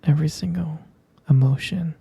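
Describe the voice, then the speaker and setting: Male actor as soothing, devoted lover for intimate ASMR: breathy whisper, heavy vocal fry, gentle halting delivery. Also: on the high side, steady tone, dry recording, heavily acted. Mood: adoring, dreamy. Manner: slow, halting, gentle murmuring with lingering pauses. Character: adoring, tender male romantic partner, soothing and devoted.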